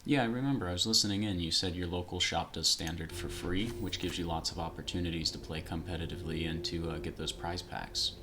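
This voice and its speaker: A man with a very low voice chatting casually as he builds a card deck on a late-night stream, thinking aloud with fillers, picked up by a sensitive desk mic. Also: steady tone, quick pace, few pauses, background noise. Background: background music.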